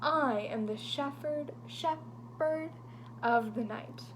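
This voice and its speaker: A woman voicing a solemn female sphinx from a storybook, speaking her riddle slowly and deliberately. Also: sits high, slight background noise, poor audio. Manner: slow, measured riddle delivery.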